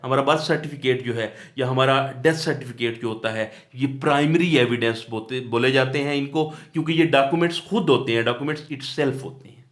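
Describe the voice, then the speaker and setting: A man with a Pakistani accent giving an explanatory law lecture, reading out definitions and explaining them, often asking and answering his own questions. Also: on the high side. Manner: switches between Urdu-Hindi and English, reading definitions then explaining them.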